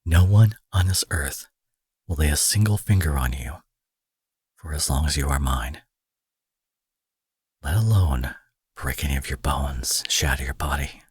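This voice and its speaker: A man playing a protective, possessive supervillain in an intimate audio roleplay, husky and theatrical, moving between forceful speech and breathy murmurs. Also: rumbling low, measured pace, pauses often, no echo, studio quality, exaggerated voice. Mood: persuasive, possessive. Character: smooth protective male supervillain courting his captive rival.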